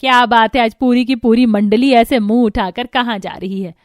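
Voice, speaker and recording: A woman's voice: a little high, moderate pace, no echo, studio quality, lightly acted. A woman playing a strict, thrifty mother-in-law who scolds her family in a cartoon. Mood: scolding. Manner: scolding, bossy. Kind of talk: cartoon voice acting.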